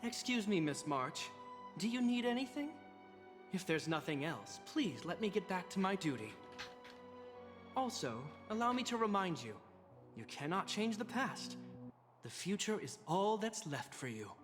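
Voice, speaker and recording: A man's voice: high-pitched, sing-song, measured pace, big-room sound, music in the background. A man voicing a stiff, dutiful guard captain in a video game, formal and polite. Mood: polite, warning.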